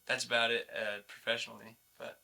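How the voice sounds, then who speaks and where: A man's voice: medium pitch, level tone, fairly quick, vocal fry, crowd noise. A young American man from the West Coast, a college baseball player answering interview questions in a plain-spoken, casual way. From West Coast, United States. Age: young adult.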